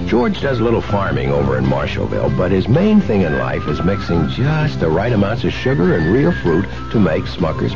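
A male announcer reads a folksy ad in a gravelly, hugely animated voice. Music plays behind him on the old radio recording. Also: low-pitched. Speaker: commercial announcer. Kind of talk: folksy scripted radio ad read. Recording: dull 1970s radio recording.

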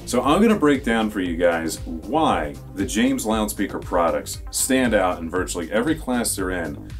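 Low-pitched American man presenting products over music. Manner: enthusiastic, emphatic sales talk. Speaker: product presenter for an audio store. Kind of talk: scripted product review to camera. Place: small indoor studio with dark backdrop.

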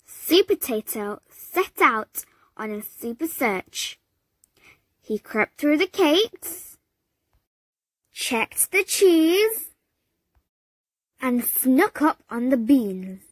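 A woman reading a children's picture book aloud, slow and expressive, leaping up high for the dramatic moments. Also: no room sound. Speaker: storybook reader. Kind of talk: children's read-aloud narration.

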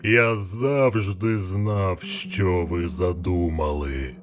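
Give this one to a menacing nightmare sorcerer. The voice is dry and hollow, fairly low, and drags each word out extremely slowly as it taunts, in a fantasy audiobook drama with music behind it. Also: male voice. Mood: menacing, gloating. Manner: very slow, drawn-out taunting delivery. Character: menacing all-knowing dark sorcerer, dream apparition.

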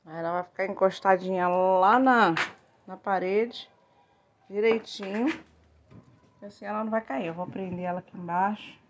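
A woman's voice: medium pitch, normal speed, pauses often, slight background noise. A chatty woman in her fifties films herself on a phone in a small tiled kitchen. She talks straight to her viewers in a friendly, repetitive way and calls them "gente" again and again. The sound is a bit dull and lo-fi. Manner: chatty, repeats "gente" often, pleading for likes. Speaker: home DIY vlogger. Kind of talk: casual chatty vlog, talking to viewers. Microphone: phone camera held close.

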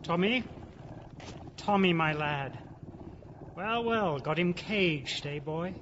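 High-voiced, slow villain in echoing hall. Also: male voice, lively intonation, a bit bright. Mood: falsely hearty. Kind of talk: audio drama acting. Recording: dull, echoing hall with faint noise.

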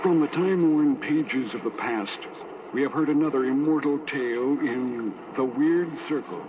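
An actor playing a solemn male host of a spooky radio anthology, intoning slowly and portentously in a 1940s style. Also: mid-range voice, close to an everyday voice. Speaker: announcer. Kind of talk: 1940s radio drama acting. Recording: echoey, tinny old radio broadcast with loud hiss.

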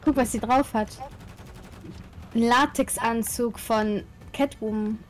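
High-pitched woman chatting over gameplay. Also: fairly even intonation, slow, soft background music. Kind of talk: casual group voice chat over gameplay.

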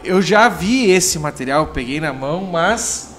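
A man's voice: on the high side, animated, measured pace, fairly bright tone, faint music behind. A man hosting a casual podcast, talkative and teasing, at a table mic in a small room. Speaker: podcast host. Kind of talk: casual chat.

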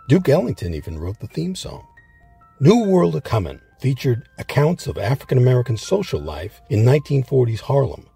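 A man narrates a scripted documentary in a low, very husky voice with a gravelly edge. Soft music plays faintly behind him.

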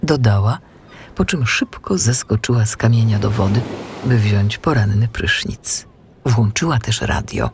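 A woman's voice: extremely low pitch, a little slow, noisy background. A woman narrating a scripted children's story as its storyteller.

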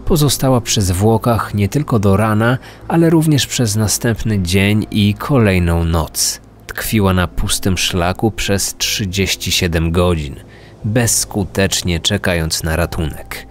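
A man's voice: lowish voice, a little slow. A man narrating a scripted true-crime podcast. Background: faint music.

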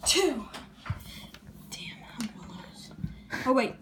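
A woman's voice: on the high side, sing-song, slow, in a whisper. A woman chatting casually as a vlog host, with slangy, muttered asides. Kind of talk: casual challenge vlog chat. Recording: very noisy home video sound.

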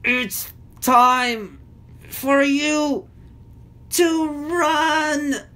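A loyal little male storybook hero acted out by a woman, brave and struggling. The words come slowly and haltingly, pushed up high with effort. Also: steady tone, sharp tone, full character voice. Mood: desperate.

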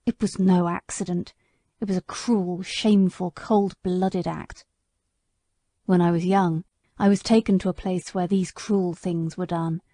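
Old male horse, bitter, indignant, slow and grave, mellow low voice, as a woman reads him. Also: steady tone, no room sound. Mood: consoling, bitter. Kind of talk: audiobook character voice.

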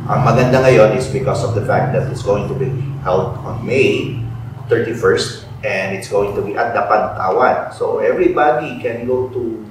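Middle aged filipino guy hosting a talk show, lowish voice, lots of ums, super echoey room. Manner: halting, conversational with many fillers. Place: indoor room with bare walls. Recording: roomy echo, distant mic, faint noise.

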